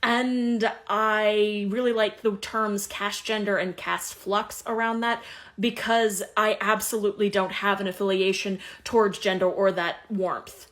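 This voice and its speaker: A woman's voice: medium pitch, fairly even intonation, measured pace, fairly bright tone. A woman giving an explanatory personal monologue in articulate, analytical wording.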